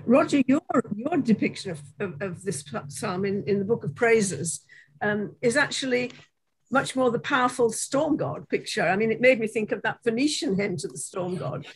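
A woman's voice: on the high side, moderate pace, slight background noise, poor audio. An older woman, a professor, discussing in a conversational way with frequent affirming murmurs, on a video call from a book-lined study at home.

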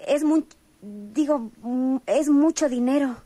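Radio serial role: naive girl, high, slow, wide-eyed and hesitant. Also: mellow, slightly rough, dry recording, full character voice. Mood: timid, awed. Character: timid, naive young country girl, easily awed.

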